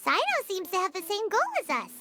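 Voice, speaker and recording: A woman voicing a small, childlike fairy companion in a video game, chatty and excitable, talking in exclamations. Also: very high-pitched, brisk, faint music behind, heavily acted. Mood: curious. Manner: speaks of herself in third person, exclamatory.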